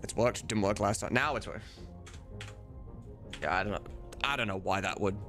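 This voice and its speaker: A man's voice: lowish voice, normal speed, a bit bright, soft background music. A man livestreaming as he works, chatting casually with viewers and muttering to himself. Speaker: livestreamer and game modder. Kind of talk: casual chat while working.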